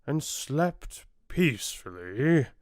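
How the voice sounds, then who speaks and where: A man performs an ancient tree-giant telling a storybook fairy tale. He speaks extremely slowly and solemnly, with wide, gentle swells of pitch. The sound is close and dry. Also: very animated, no room sound. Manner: slow, deliberate, measured. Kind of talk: audiobook fairy tale narration.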